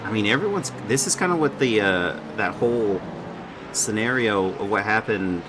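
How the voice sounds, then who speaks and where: A man's voice: lowish voice, lively intonation, moderate pace, background noise. A man giving casual, conversational commentary on a sim race, speaking in a loosely improvised way.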